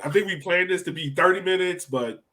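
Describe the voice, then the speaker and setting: A man with a fairly high voice hosts a podcast. He talks in a casual, conversational way, as someone steering a chat between guests. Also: a little fast, crowd noise. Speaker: podcast host.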